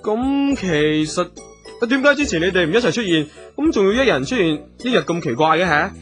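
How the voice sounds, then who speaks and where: Lovestruck struggling entertainer: very high for a man, excitable, sincere, Hong Kong Cantonese, radio comedy. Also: brisk. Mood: baffled. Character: eager lovestruck male performer with a struggling career. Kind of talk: radio comedy drama acting. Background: music.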